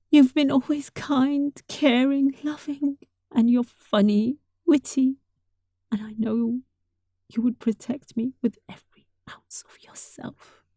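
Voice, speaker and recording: A woman's voice: fairly high pitch, no room sound, heavily acted. A woman playing a sweet, affectionate young catgirl roommate in an intimate roleplay, speaking tenderly and breathily, often close to a whisper. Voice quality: soft, breathy. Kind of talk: intimate roleplay audio, softly acted monologue.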